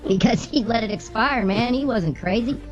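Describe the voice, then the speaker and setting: A woman's voice: on the high side, animated, moderate pace. A brash, bossy woman co-hosting a show, reading the news with casual comic banter and swearing. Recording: slightly muffled, music underneath.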